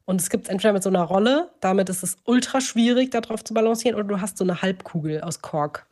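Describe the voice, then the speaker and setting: A woman hosting a podcast in casual chat, expressive and slangy, dropping in English words. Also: medium pitch, fast talker. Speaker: podcast host.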